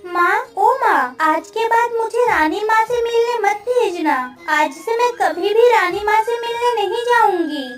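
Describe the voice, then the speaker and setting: A woman's voice: very high-pitched, unhurried, hardly any pauses. A woman voicing an earnest little village girl who pleads with her mother in a cartoon story. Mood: pleading, earnest. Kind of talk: Hindi cartoon story dialogue. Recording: roomy, distant sound with faint music.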